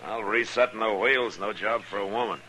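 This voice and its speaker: An actor playing a steady, laconic frontier lawman with an American accent, measured and dry, in an old-time radio western. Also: on the low side, natural voice. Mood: probing, firm. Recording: old radio recording with faint noise.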